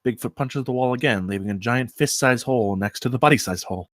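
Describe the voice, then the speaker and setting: A man's voice: mid-range voice, quick pace, dry recording, studio quality. A man reading stage directions as scripted narration at a comedy screenplay table read.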